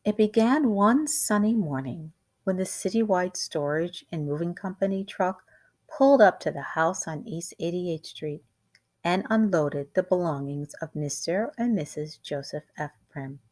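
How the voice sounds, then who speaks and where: Slow-paced woman reading a storybook. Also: fairly low pitch, a little mellow. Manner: deliberate, dramatic storytelling delivery. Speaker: teacher reading a picture book aloud. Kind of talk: expressive children's storybook read-aloud.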